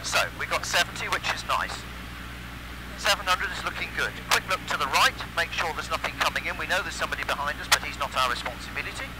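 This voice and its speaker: Quick-talking British flight instructor over engine roar. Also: male voice, a little high. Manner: calm, encouraging, step-by-step. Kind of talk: instructing a student pilot during a lesson. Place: small light aircraft cockpit in flight. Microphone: aviation headset. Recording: loud engine noise over aircraft intercom. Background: constant loud aircraft engine drone.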